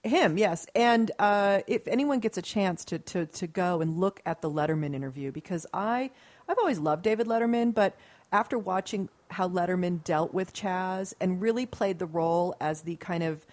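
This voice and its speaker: A woman's voice: on the low side, expressive, normal speed, darkish tone. Earnest, rambling woman radio host. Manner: earnest, rambling, restarts often. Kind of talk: casual talk radio chat.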